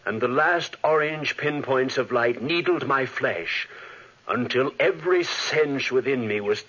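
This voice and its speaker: Husky, haunted scientist. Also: male voice, medium pitch, a bit bright. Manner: melodramatic, dramatic narration. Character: haunted, guilt-ridden scientist telling his own tale, tense and evasive. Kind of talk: 1940s radio drama acting. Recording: muffled 1940s radio recording.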